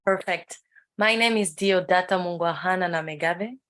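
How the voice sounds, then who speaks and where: A young woman with a Congolese accent introduces herself on an online call in English as a second language, halting as she searches for words. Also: lowish voice, a bit bright, no room sound, clean studio sound. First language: not English, speaks it as a second language. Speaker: youth organization ambassador introducing herself. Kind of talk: unscripted self-introduction.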